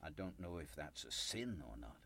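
An actor in a radio play playing a humble, deferential churchgoer with a quietly sly, knowing edge. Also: male voice, very deep voice, big pitch swings, moderate pace, no echo, full character voice. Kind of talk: radio drama acting.

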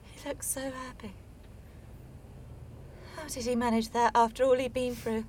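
A woman's voice: on the high side, fairly even intonation, unhurried, darkish tone, slight background noise, lightly acted. An actress in a radio play voicing a wounded, sceptical young woman with a blunt, clipped way of answering. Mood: subdued, stunned. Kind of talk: naturalistic radio play acting.

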